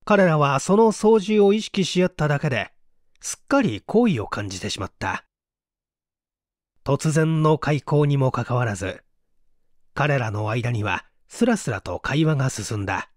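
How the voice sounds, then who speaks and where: A man's voice: medium pitch, lively intonation, unhurried, dry recording, clean studio sound. A man narrating a literary story as a dramatic reader.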